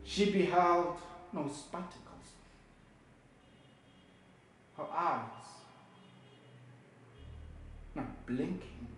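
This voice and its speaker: An actor with a South African accent playing a grieving, bitter man who delivers a halting, emotive funeral eulogy as a theatrical monologue. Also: a little high, animated, slight background noise. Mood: subdued, sorrowful. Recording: very echoey room, music behind.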